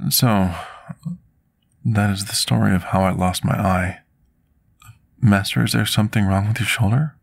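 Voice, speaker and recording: Male actor as remorseful male potion master, very low pitch, husky, formal and deliberate, intimate ASMR roleplay. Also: steady tone, dry recording, studio quality. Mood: tender, deferential. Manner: formal, deliberate, confessional storytelling. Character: scarred male potion master, devoted, remorseful, soft-spoken servant.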